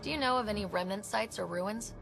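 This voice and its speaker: A woman's voice: medium pitch, a little fast, creaky, close to an everyday voice. A woman playing a friendly, wry young human explorer in a video game, talking casually. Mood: inquisitive. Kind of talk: dramatic game dialogue. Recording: echoey hall, faint music behind.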